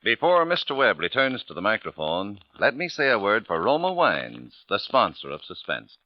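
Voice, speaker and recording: A man's voice: on the low side, huge pitch swings, normal speed, no echo. A man with an American accent reading a scripted 1940s radio commercial as the sponsor's polished announcer. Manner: polished salesman's delivery. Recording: dull vintage radio recording.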